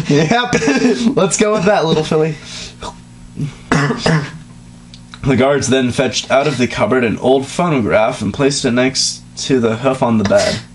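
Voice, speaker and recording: On a slightly noisy, humming home recording, a man reads a story aloud in a dramatic amateur style. His voice sits at a middling pitch, and he is giggly and crude, often interrupting himself with casual asides. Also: lively intonation, slow pace, long pauses. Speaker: reader of a comedic fan fiction reading. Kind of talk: dramatic reading of fan fiction with casual joking asides.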